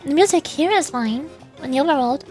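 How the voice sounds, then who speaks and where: Girl vtuber chatting on stream, high voice, game music in the back. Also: expressive, fairly quick, a little mellow. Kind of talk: casual livestream chat while gaming.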